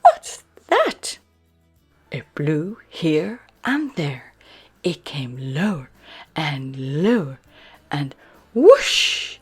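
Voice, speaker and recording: A woman reads a bedtime story very slowly, her pitch rising and falling in big, lively swoops. Her voice is soft and breathy. Faint music plays underneath. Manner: slow, soft, very expressive reading. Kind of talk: children's read-aloud narration.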